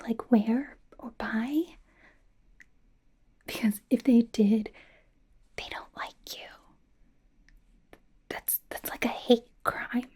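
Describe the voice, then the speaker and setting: A woman playing a bubbly young female pop idol acting as a personal stylist in a softly whispered, informal, rambling ASMR roleplay. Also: fairly high pitch, full character voice. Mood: playful, incredulous. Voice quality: soft, breathy whisper. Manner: hesitant, rambling, informal, earnest.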